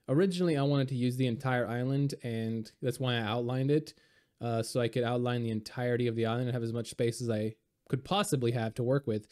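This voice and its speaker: A man talking casually over gameplay, hoarse and a little raspy from being sick, rambling with lots of fillers. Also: mid-range voice. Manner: relaxed, rambling, many fillers. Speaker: game video creator.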